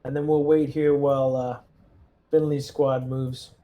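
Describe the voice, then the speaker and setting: A man's voice: mid-range voice, level tone, unhurried, dull audio. A man casually talking through plans as a player in a tabletop roleplaying game.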